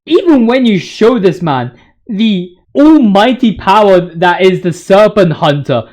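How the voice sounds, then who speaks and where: A man's voice: high voice, animated, unhurried, darkish tone. A man giving casual, opinionated gaming commentary as a video host, ranting in slang with emphatic repetition.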